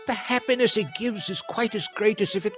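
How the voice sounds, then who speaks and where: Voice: mid-range voice, steady tone, fairly quick, a bit bright, soft background music, close to an everyday voice. A performer playing a tormented elderly male miser in a theatrical radio drama. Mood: earnest.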